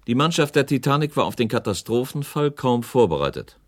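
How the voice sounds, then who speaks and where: An actor playing a grave inquiry speaker in a documentary radio drama, with a formal, accusatory delivery. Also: male voice, medium pitch, very animated, fairly quick, close to an everyday voice. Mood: grave, summing up. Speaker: presiding narrator.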